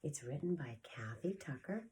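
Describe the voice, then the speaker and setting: A woman preschool teacher reading a story aloud to young children, expressive and deliberate, with a breathy voice that often drops toward a whisper. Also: low-pitched. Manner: addresses children directly, expressive with gasps and sound effects.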